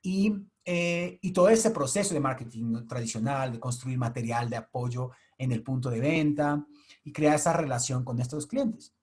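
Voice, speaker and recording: A man's voice: mid-range voice, normal speed, darkish tone. Portuguese man explaining on a webinar. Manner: explanatory, audience-addressing. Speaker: guest speaker. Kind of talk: webinar presentation. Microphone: video call.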